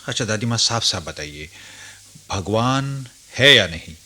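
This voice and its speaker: Expressive, earnest grandson. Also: male voice, lowish voice, exaggerated voice. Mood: curious, earnest. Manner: earnest, deliberate, dramatic. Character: respectful, curious grown grandson who narrates his memories. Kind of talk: Hindi radio drama acting and narration.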